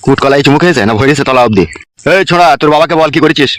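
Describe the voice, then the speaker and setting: An actor playing an angry, blunt village boss in a cartoon comedy, threatening people in a rural West Bengal accent. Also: male voice, fairly high pitch, rapid-fire, a bit bright, dry recording, natural voice. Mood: stern, demanding. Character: angry, foul-mouthed male village boss bullying a family.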